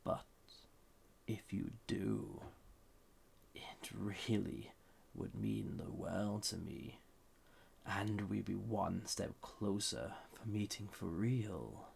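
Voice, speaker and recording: A man voicing a suave, flirty and dangerous banished villain in an audio roleplay, with a husky, breathy voice and a formal, softly condescending manner. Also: fairly even intonation, very slow, long pauses, a little hiss or hum, exaggerated voice. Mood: conspiratorial, persuasive. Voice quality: deep, gravelly, husky, breathy. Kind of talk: scripted roleplay audio drama.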